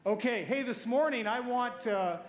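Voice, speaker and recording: A man serving as pastor, introducing a guest at a church service in a halting way, with many fillers. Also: extremely high pitch, slight background noise. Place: church sanctuary. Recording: echoey hall with crowd behind.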